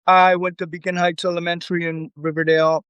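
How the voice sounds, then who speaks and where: A man as an interview guest, answering in a relaxed, rambling way with frequent fillers. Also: high-pitched, brisk, clear, resonant tone, no echo, lo-fi sound. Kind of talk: casual conversation.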